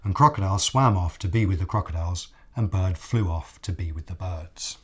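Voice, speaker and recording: A man reading a picture book aloud as an expressive children's storyteller, deliberate, with dramatic pauses. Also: sits low, husky.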